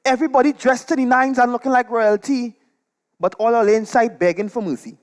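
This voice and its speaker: A man with a Trinidadian accent performing a comic, gossipy monologue on stage in dialect with animated, dramatic delivery. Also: very high voice, brisk. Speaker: storytelling performer. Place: live on stage at a performance venue.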